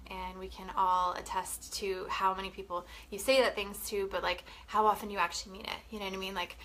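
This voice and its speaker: A woman's voice: medium pitch, little pitch movement, a little fast, pushed and bright, poor audio. A young woman from Canada with a head cold, sounding sniffly, talking casually and chattily to camera. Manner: chatty, swearing, frequent "like" and "you know". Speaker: wellness vlogger.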